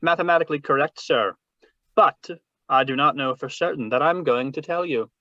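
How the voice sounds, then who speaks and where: A man's voice: fairly high pitch, pushed and bright, dry recording. Radio noir villain, man acting: portly, genial, verbose schemer, formal diction, dry lo-fi call audio. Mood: shrewd, bargaining. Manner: florid, formal, deliberate, genial. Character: jovial, shrewd, verbose fat male crime boss. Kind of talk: 1940s radio drama read-through. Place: video call read-through.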